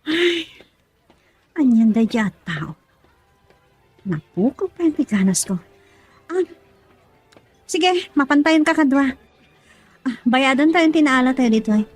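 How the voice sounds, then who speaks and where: A woman's voice: high voice, expressive, unhurried, long pauses, soft background music. An actress playing an outspoken, easily irked young working woman in an Ilocano radio drama. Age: young adult.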